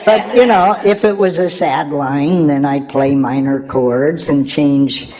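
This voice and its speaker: Slow-talking man explaining his craft. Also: fairly high pitch, a little mellow, low-quality recording. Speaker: sound engineer on a panel. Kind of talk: explaining technical work to an audience.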